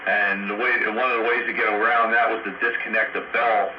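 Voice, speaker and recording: An older man explaining technical things casually and haltingly over a two-way radio. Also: on the low side, flat delivery. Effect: radio transmission. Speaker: amateur radio operator in a group chat. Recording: dull noisy two-way radio transmission.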